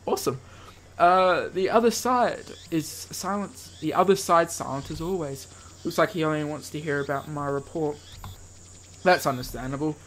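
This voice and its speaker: A man's voice: on the high side, moderate pace, fairly bright tone, slight background noise. A man hosting a let's play, reading visual novel text dramatically and breaking off for playful asides. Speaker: gaming video host reading aloud.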